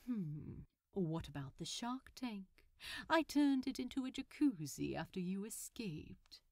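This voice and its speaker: Storybook villain: polite, smitten gentleman played by a woman, coy and unhurried. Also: mid-range voice, very animated, no echo, clean studio sound. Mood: flirty. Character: genteel, coy male supervillain, affectionately smitten.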